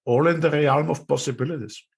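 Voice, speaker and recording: A man's voice: fairly high pitch, a little fast, gravelly, breathy edge. A man with an Austrian accent hosting a podcast, eager and prone to repeating his phrases. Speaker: podcast host. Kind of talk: casual interview talk.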